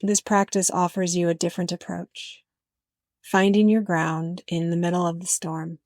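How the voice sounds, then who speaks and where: Female meditation narrator, gentle and measured, slightly low register, dry close sound. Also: flat delivery, no echo. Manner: gentle, unhurried, with frequent pauses. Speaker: meditation guide.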